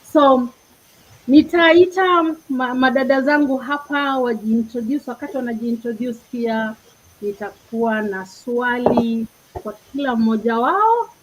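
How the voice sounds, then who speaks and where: A woman's voice: on the high side, expressive, normal speed, lo-fi sound, slight background noise. A woman with a Kenyan accent hosts an inspirational panel talk in an earnest, reflective way. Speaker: host.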